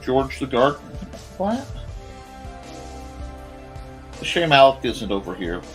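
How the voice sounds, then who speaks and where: A man with a slightly croaky, strained voice chatting casually and goofily, half to himself, as he hosts a drawing stream. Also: mid-range voice, sing-song, takes it slowly, soft background music. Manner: goofy, rambling, half talking to himself. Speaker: cartoonist hosting a live art show. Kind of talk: casual chat while drawing.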